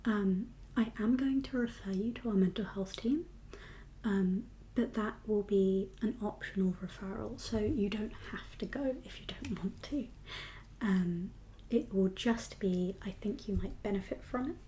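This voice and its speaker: A woman speaking in a soft, breathy whisper with a calm, reassuring, unhurried manner, as a doctor in an ASMR medical roleplay. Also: mid-range voice, slight background noise, low-quality recording. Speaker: ASMR performer playing a doctor.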